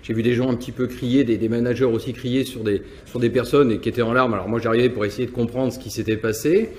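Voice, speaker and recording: Brief: male company owner from Nantes, halting and reflective, mid-pitched. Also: fairly even intonation. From France, Nantes. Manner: hesitant, many restarts and repeated words. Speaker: business owner. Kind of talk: interview answers, personal reflection.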